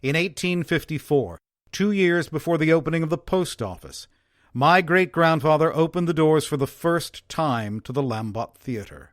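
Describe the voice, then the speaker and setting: Male actor as earnest old-time theater owner, slightly high male voice, formal, wistful, measured, dramatic letter reading, very dry sound. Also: expressive, no room sound, close to an everyday voice. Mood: proud, nostalgic. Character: earnest former theater owner, genteel early-1900s Wisconsin man.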